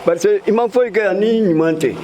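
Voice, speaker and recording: A man with a high voice offers warm farewell praise to a departing colleague. Clear background noise runs under his words. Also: fairly quick. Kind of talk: farewell speech.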